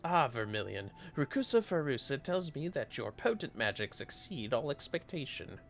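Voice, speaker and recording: A man's voice: mid-range voice, very animated, moderate pace, creaky, soft background music, pitched above the performer's own voice. A man voicing an earnest, humble scholarly mentor in a fantasy game, with formal, ornate phrasing. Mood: warm pride.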